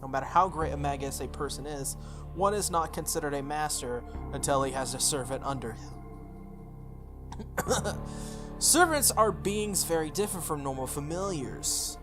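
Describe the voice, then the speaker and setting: A let's play host with a medium-pitched voice reads a visual novel over clear background music. The delivery slips between narration and casual, joking asides. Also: normal speed. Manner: jokey, self-interrupting asides.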